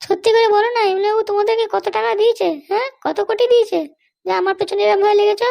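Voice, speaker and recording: A woman's voice: extremely high pitch, steady tone, fast talker, vocal fry, darkish tone. A woman voicing a haughty, threatening female political boss in a comedy cartoon, bossy and indignant with a childlike voice. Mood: defensive. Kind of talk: Bengali comedy cartoon dialogue.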